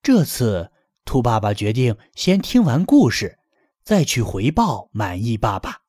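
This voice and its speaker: A man reads a children's bedtime story with a gentle, lively telling and a very animated melody. His voice is a little husky. The recording is close and dry. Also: mid-range voice, no echo.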